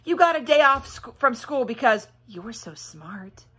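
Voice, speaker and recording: A woman's voice: a little high, lively intonation, moderate pace, a bit bright, husky. A woman voicing a bossy, fierce young girl from a children's storybook, demanding and indignant. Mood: accusing.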